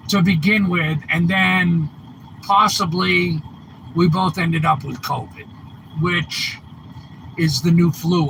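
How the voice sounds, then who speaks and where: A man's voice: on the high side, creaky, bright, forceful tone, slight background noise, rough recording. A man hosting a casual live stream from inside a truck on his phone, chatty and a bit congested from a lingering cough. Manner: rambling, chatty, fumbling with gear. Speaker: homestead channel host. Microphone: phone camera held in hand.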